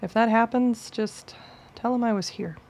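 A woman's voice: a little high, moderate pace, gravelly, a little mellow. An actress playing a weary, guarded young woman on the run who talks bluntly and swears. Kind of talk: radio play acting.

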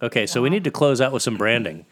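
A man's voice: medium pitch, animated, fast, a bit bright. A man co-hosting a podcast, bantering casually with playful quips. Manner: playful, quipping. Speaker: podcast co-host.

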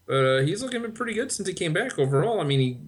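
A man's voice: sits high, sing-song, quick pace, slightly rough. A man co-hosting a casual podcast, hesitant and full of fillers. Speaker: podcast co-host.

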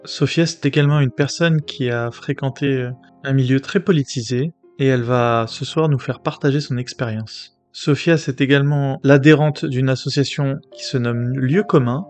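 A man's voice: mid-range voice, fairly even intonation, moderate pace, few pauses, a little mellow, soft background music. A man hosting the show, reading an earnest introduction to the episode with frequent filler hesitations. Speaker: host.

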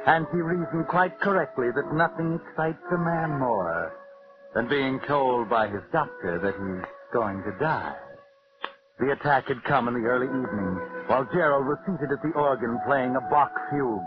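An actor as the Whistler, ominous night-walking radio storyteller. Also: male voice, mid-range voice, lively intonation, lightly acted. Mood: grim storytelling. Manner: ominous, measured, theatrical. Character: the Whistler, sinister all-knowing male narrator who walks by night. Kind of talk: 1940s radio mystery narration. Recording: dull vintage 1940s radio recording. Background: music.

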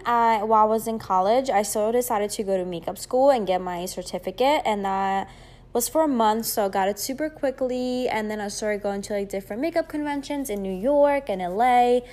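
A woman's voice: on the high side, darkish tone. A woman in her twenties from Greece, hosting a podcast and chatting casually on her own, with lots of fillers and rambling asides. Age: 20s. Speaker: podcast host. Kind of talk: casual solo podcast chat.

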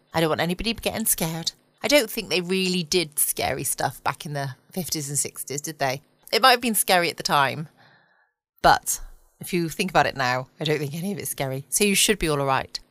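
British female podcast host, casual and self-deprecating, low voice, dry close recording at home. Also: fairly bright tone, dry recording. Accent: British English. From United Kingdom. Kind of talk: casual late-night podcast chat.

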